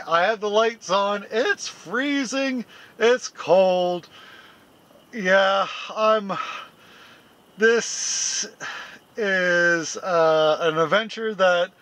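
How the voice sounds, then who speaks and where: A man's voice: high-pitched, fairly bright tone. A man in his forties chatting to his camera while camping, first outdoors in the snow and then inside a small tent at night, chuckling often and rambling with plenty of fillers. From Canada. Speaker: camping vlogger. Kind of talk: casual vlog chat to camera. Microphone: handheld camera close up, then fixed camera a few feet away.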